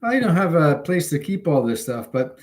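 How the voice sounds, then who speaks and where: A man's voice: fairly high pitch, very animated. Rambling middle-aged man over faint noise. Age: 50s. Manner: rambling, halting, digressive. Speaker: hobbyist video host. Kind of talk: casual unscripted product demonstration. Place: indoors at home. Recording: home recording with faint background noise.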